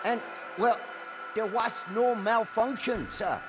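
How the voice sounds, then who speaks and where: A man's voice: high voice, slow pace, background noise. An actor playing a nervous, deferential military subordinate in a video game, hesitant as he reports.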